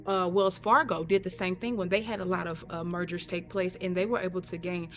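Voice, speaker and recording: A woman co-commentator on a radio talk show, discussing the news in a measured, explanatory, conversational way. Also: on the low side, steady tone. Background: music under the talk.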